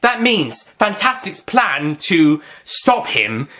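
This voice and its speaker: A person voicing a calm, smug male time-traveling hero in an amateur story. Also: mid-range voice, takes it slowly, pitched above the performer's own voice, full character voice.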